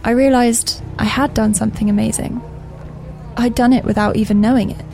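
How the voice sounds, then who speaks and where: A woman's voice: fairly high pitch, fairly even intonation, fairly quick, vocal fry. A woman playing an earnest, reflective young shop assistant who narrates her story in a confiding way in an audio drama. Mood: wistful wonder. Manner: confiding, introspective. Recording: music under the voice.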